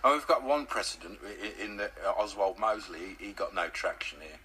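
Male co-host, lowish and bright, short questions and interjections. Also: fairly quick, slight background noise. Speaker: radio co-host. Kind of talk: radio discussion.

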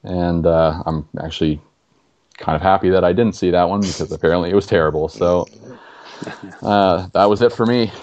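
A young adult man from Canada, chatting easily on a podcast with a flat delivery and frequent fillers. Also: low voice, normal speed, lo-fi sound. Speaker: podcast guest. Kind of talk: casual podcast chat.

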